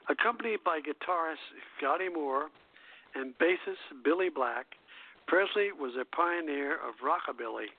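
Man with creaky voice on phone-like line. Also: on the high side. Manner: halting reading, stumbles over words and corrects himself. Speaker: radio show host. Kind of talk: reading aloud a scripted music history. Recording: muffled phone-like internet radio line.

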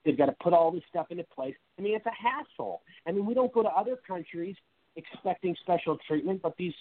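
A man's voice: high voice, lively intonation, brisk, a little hiss or hum. A male talk show host interviewing a guest in a casual, rambling, effusive way, often restarting his sentences. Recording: dry, phone-like sound.

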